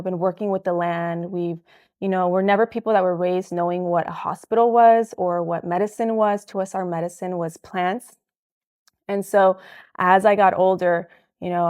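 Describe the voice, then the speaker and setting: A woman from El Salvador, a guest herbalist, answering interview questions in an earnest, thoughtful way with many soft fillers. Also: lowish voice.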